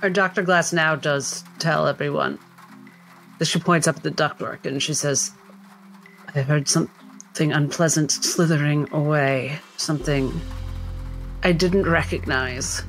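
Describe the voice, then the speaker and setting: A woman playing a tabletop roleplaying game, describing and acting her character in measured, theatrical phrasing. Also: low-pitched, poor audio. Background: background music.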